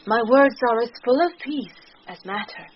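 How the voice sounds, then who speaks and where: An actress with a British accent playing a bold, witty young messenger disguised as a youth in a Shakespearean radio drama, with theatrical, declamatory delivery. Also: female voice, a little high, moderate pace, lightly acted. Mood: earnest, secretive. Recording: dull, noisy old radio drama recording.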